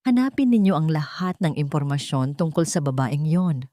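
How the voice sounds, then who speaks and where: A woman voicing a wealthy matriarch in a romance story, calm, deliberate and coldly commanding. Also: low voice, dry recording, clean studio sound.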